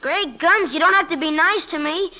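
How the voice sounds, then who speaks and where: A high-pitched schoolboy, bright and sharp in tone. He talks in blunt, folksy bursts with sulky, wounded earnestness, as in a 1940s radio drama. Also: moderate pace. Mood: hurt, defensive. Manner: blunt, boyish, folksy slangy talk.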